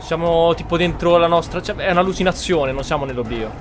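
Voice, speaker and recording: Higher-voiced man doing game commentary. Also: fast talker. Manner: musing, speculating aloud. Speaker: game streamer. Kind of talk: casual let's-play commentary. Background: game music and sounds.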